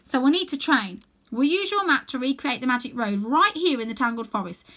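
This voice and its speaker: Storybook girl chief, acted by a woman: fierce, scornful, curt, raised bright voice giving orders. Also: high-pitched, expressive, fairly quick, voice pushed up. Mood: firm, blunt. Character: bossy, fierce young girl chief of a wild gang. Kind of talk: children's storybook character voice.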